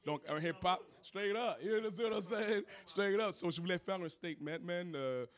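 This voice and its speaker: A man's voice: high-pitched, moderate pace. Laid-back Haitian rapper, code-switching. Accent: Haitian French. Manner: laid-back, peppers speech with "you know what I mean". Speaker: rapper and singer. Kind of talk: casual interview, mixing French and English.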